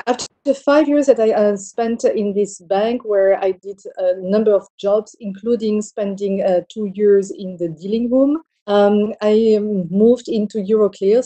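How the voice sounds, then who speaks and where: Middle-aged Belgian executive woman, deep mellow tone, halting answers full of fillers. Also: rough recording. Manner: hesitant, many fillers and restarts. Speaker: bank CEO guest. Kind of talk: interview answers.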